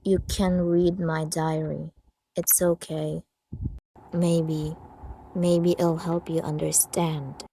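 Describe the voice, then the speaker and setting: Woman voicing a low, slow, deadpan pony. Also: echoey room, far from the mic, slight background noise. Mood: gentle, reserved. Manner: deadpan, understated, deliberate. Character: stoic, reserved young female pony, quietly sincere. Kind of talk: amateur comic dub voice acting. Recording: music behind the voice.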